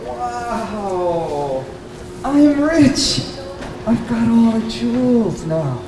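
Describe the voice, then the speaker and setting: A kindly, innocent Brahmin puppet, performed by a man, speaking with simple earnestness. The pitch is high. The sound is roomy and far from the mic, with noticeable noise around it. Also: very animated, extremely slow, exaggerated voice. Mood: delighted. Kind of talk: live puppet show storytelling. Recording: echoey room, distant mic, background noise.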